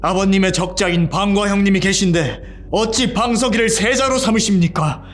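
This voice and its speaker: An actor playing an ambitious young prince, intense and bitter, in an audio drama. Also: male voice, high voice, normal speed, soft background music, close to an everyday voice. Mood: defiant. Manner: intense, pleading then defiant.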